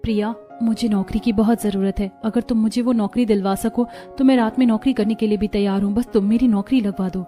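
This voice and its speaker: A woman's voice: medium pitch, steady tone, a little fast, few pauses, mellow, soft background music, natural voice. A woman playing a poor, earnest, self-sacrificing young college student in a cartoon story, speaking plainly. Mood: earnest pleading. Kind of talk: Hindi cartoon story dialogue.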